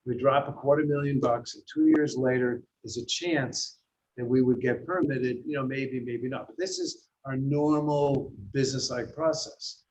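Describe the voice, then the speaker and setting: An American man from Massachusetts chairing a board meeting, explaining things informally in a halting, restarting way. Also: mid-range voice, steady tone, a little mellow. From Massachusetts, USA. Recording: muffled, lo-fi meeting audio with noise.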